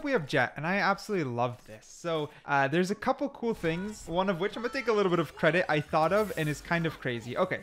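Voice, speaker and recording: Higher-pitched man explaining a video game. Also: fairly bright tone. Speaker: gaming video host. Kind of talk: casual explainer commentary over gameplay.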